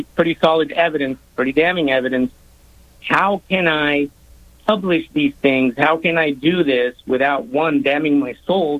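A man asking an earnest question in a hesitant, halting way. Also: fairly high pitch. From Lebanon. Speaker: audience caller asking a question. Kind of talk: earnest spoken question to a speaker. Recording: thin phone-like connection.

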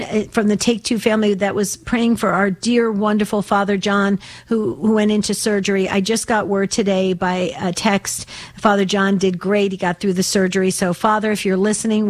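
Female faith radio call-in host, mid-pitched, slightly raspy, chatty and earnest. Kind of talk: conversational religious radio hosting.

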